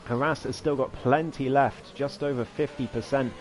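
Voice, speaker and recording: A hoarse man giving live commentary on an online racing league, his voice climbing excitedly through each overtake. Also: mid-range voice, normal speed, slight background noise. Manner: rising excitedly during overtakes, chatty asides. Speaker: race commentator.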